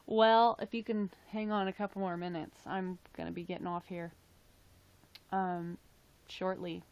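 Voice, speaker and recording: Raspy tired girl on a livestream, chatty, swears a bit, kinda low voice. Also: little pitch movement, unhurried, a little mellow, muffled recording. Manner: rambling, chatty, swears casually. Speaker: live streamer. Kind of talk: casual live-stream chat.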